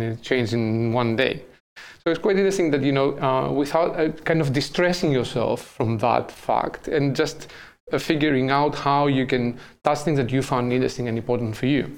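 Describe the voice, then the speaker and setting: Middle-aged Greek man, native Greek speaker, gravel in the voice, halting and thoughtful interviewee. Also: mid-range voice. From Greece. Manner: thoughtful, halting, many fillers. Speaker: artist guest. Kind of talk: interview conversation.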